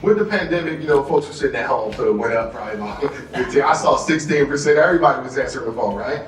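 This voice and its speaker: A man's voice: mid-range voice, expressive, quick pace. An American man leading an interactive sales training as a motivational trainer, often checking in with his listeners. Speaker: workshop trainer. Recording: roomy echo, distant mic, faint music.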